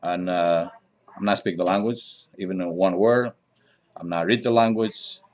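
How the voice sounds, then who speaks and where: A middle-aged man from Greece with a Greek accent answers interview questions conversationally, in halting non-native English with frequent pauses. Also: low-pitched, fairly even intonation, a little mellow. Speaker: interview guest, diner owner.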